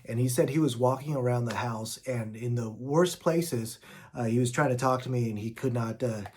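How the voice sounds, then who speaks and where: A man's voice: mid-range voice, normal speed, darkish tone, slightly rough. A man giving a casual gear review in an informal, explanatory way, as a vlogging product reviewer.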